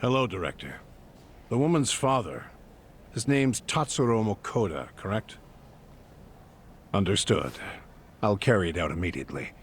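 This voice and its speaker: An actor playing a calm, menacing male killer who speaks in clipped, businesslike phrases, in a video game dub. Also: lowish voice, slight background noise. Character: cold, calculating male hitman posing as a guard. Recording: game cutscene with music behind.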